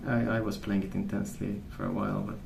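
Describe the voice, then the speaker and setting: Man, soft-spoken ASMR, flat intonation, meandering and unhurried, roomy sound, noticeable background noise with pencil colouring. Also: fairly low pitch, creaky. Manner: hesitant, meandering, unhurried recollection. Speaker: ASMR video host. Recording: roomy, distant mic, noisy background.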